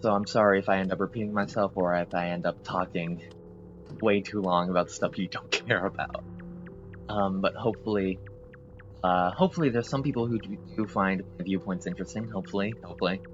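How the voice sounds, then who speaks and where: Man, low voice, rambling gameplay chat, hesitant, apologetic, dull lo-fi sound, game music behind. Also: steady tone, fairly quick. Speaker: gameplay video host. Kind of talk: rambling casual commentary over gameplay.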